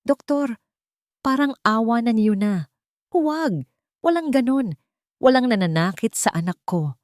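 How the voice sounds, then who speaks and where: A woman's voice: a little high, animated, measured pace, a little mellow, no echo, clean studio sound, voice pushed up. A woman voicing a distraught mother in her fifties in a story, tearful and imploring. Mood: desperate tearful.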